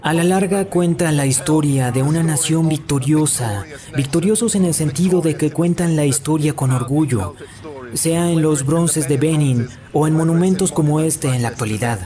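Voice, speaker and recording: A man's voice: fairly high pitch, moderate pace, a crowd in the background. A man with a Latin American Spanish accent reading scripted documentary narration as an amateur voice-over. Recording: music behind the voice. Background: background music.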